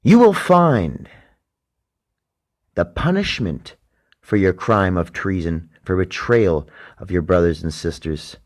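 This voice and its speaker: A Canadian man hosting a casual late-night solo podcast, rambling and profane, trailing off into mumbles and then bursting into loud emphasis. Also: sits low, lively intonation, takes it slowly, pauses often. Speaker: podcast host.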